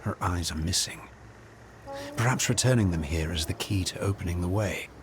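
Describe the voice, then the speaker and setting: An actor playing a pensive adventurer musing over ancient riddles in a video game. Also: male voice, low voice, normal speed, noisy background, close to an everyday voice.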